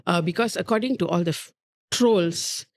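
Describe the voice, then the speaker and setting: A woman's voice: fairly low pitch, normal speed, darkish tone, dry recording. A woman in her forties speaking as a guest, reflective and frank, with lots of "like". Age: 40s. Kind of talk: candid conversation.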